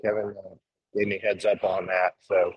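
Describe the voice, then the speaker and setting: A man's voice: low voice, level tone, moderate pace, breathy edge, no echo, muffled recording. A man explaining something on a live show, talking in a hesitant way with many ums. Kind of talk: casual live chat.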